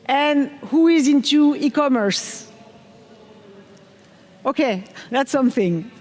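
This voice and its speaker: A woman's voice: high voice, animated, takes it slowly. A middle-aged French woman with a French accent, giving an enthusiastic technical conference talk on stage and asking the audience questions. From France. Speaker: conference speaker. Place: large conference hall on stage. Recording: hall reverb from a big room.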